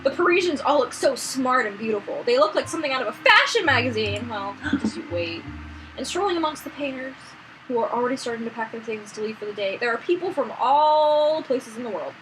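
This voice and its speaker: A woman's voice: sits high, lively intonation, a little fast, over music. A woman reading game text aloud for a playthrough, with slangy, chatty asides and exclamations. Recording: noisy backup mic, mic failed to connect.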